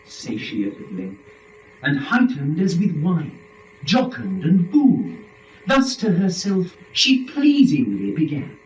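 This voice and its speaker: A man narrating epic verse in a dramatic recitation, with solemn, deliberate, theatrical phrasing. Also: a little high, huge pitch swings. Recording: echoey room, distant mic, faint noise.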